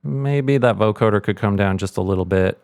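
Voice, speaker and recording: Male sound designer, low register, informal walkthrough style, thinking aloud on a dry, close mic. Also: moderate pace, darkish tone, dry recording. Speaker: sound designer giving a tutorial. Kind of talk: casual screen tutorial.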